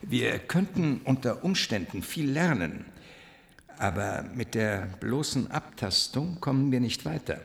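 A man's voice: mid-range voice, expressive, unhurried, gravelly. A man playing a cold, clinical research scientist in a science-fiction radio drama, matter-of-fact and pointed. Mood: coolly keen.

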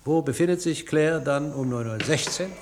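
A man acting in a radio play as an impatient scientist who lectures in a brisk, dryly ironic, didactic way. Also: on the high side, lightly acted. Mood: lecturing, animated. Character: impatient, ironic male scientist, a lecturing professor explaining theories. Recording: noisy old radio play recording.

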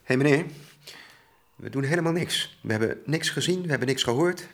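A man acts a bullying farm worker in a radio play. His mid-range voice is forceful and threatening, with a sneering edge to the way he talks. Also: medium pitch, lively intonation, fairly quick, lightly acted. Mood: menacing. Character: bullying, menacing farmhand caught with secret lover. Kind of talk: Dutch radio drama acting.

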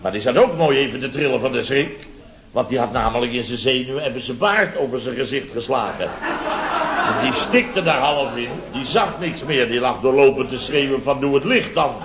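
An actor playing a flustered contractor in a 1970s radio comedy, telling domestic mishaps in halting, repetitive sentences full of tag questions. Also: male voice, mid-range voice, a loud crowd, lightly acted. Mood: exasperated. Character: flustered male contractor recounting his chaotic domestic mishaps. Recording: dull vintage radio recording.

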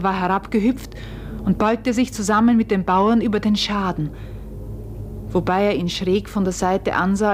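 An actress playing a farmer's wife who recounts an uncanny event with wonder, in measured, literary radio play narration. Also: female voice, mid-range voice, slight background noise, close to an everyday voice. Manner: measured, literary, deliberate delivery.

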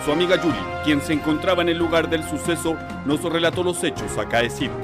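A man's voice: fairly high pitch, fast, lightly acted. A man playing a formal morning radio newsreader, reading a scripted bulletin. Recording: loud music bed behind.

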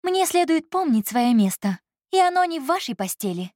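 A woman's voice: high voice, sing-song, normal speed, darkish tone, no echo, studio quality, pitched above the performer's own voice. A woman voicing a proud, stubborn young woman who answers her employer with defiance and cutting emotion. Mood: cold.

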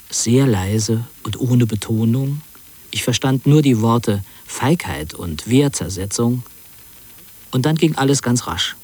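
A middle-aged man narrating an autobiographical radio piece in a measured, precise literary manner. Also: medium pitch, darkish tone, slightly rough.

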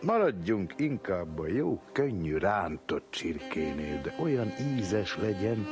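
A food-loving gentleman diner in a literary dramatization. His delivery is highly animated and particular, relishing his ornate, old-fashioned phrases. Music is clearly present with the voice. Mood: savoring, particular.

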